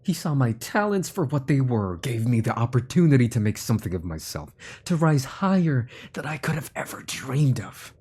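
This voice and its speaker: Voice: medium pitch, sing-song, normal speed, few pauses, a little mellow, breathy edge. An actor playing a menacing, centuries-old sorcerer-scientist in a fantasy audio drama, with a smug, theatrical delivery that slips into whispers. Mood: smug, grandiose.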